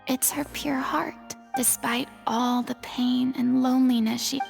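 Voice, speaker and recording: A woman's voice: high-pitched, unhurried, vocal fry, soft background music, heavily acted. A woman voicing a proud, theatrical and wistful young retired stage star in a video game. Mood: confident, wistful. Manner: theatrical, expressive. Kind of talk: dramatic video game voice acting.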